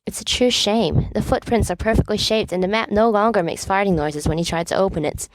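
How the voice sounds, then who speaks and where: A woman's voice: mid-range voice, a little fast, dry recording, natural voice, rough recording. Woman as bookish teenage boy, earnest, exasperated, precise wording, storybook dialogue, dry sound. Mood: reproachful.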